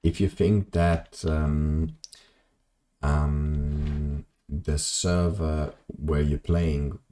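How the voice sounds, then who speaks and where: A man's voice: steady tone, very slow, dark tone, a little hiss or hum. A young man, chatting loosely while live-streaming a game, full of ums and self-deprecating asides. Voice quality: very low voice. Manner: rambling, self-deprecating, many ums and restarts. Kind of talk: casual live-stream chat while gaming.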